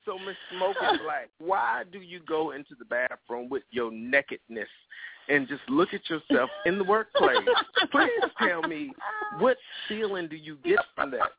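A man's voice: sits high, huge pitch swings, normal speed, gravelly, a little hiss or hum. Guy hosting a call-in show, campy and teasing, voice jumps all over, tinny phone sound. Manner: flamboyant, teasing, big swoops up into laughter. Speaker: host. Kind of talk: casual call-in chat.

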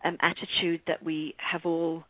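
A middle-aged woman from London with an English accent and a husky voice, explaining spiritual ideas as a guest in a radio interview. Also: sits low, flat delivery, normal speed, dull audio. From London, England. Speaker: guest numerologist and author.

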